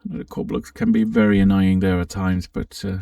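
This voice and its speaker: A man giving a casual, unscripted software walkthrough, thinking aloud with some hesitation as he presents. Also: deep voice, flat delivery, moderate pace, gravelly, clean studio sound. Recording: roomy, slightly distant microphone.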